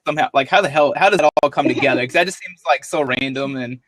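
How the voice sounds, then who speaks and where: A man's voice: medium pitch, quick pace, fairly bright tone, no room sound. Joking man hosting a sports podcast. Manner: upbeat, joking, loose. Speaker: podcast host. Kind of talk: casual podcast chat.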